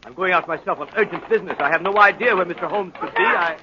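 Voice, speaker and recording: An actor playing a formal, impatient gentleman doctor in a 1930s radio drama. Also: high-pitched, quick pace, lightly acted. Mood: brisk, impatient. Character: brisk, impatient gentleman doctor narrating his story. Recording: noisy, dull 1930s radio recording.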